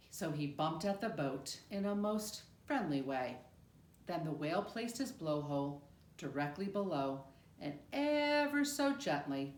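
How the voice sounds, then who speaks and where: Female storybook reader, lowish voice, very unhurried and expressive, in an echoey room. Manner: expressive, measured storytelling with deliberate pauses. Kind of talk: read-aloud of a rhyming children's picture book. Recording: roomy, echoing room sound.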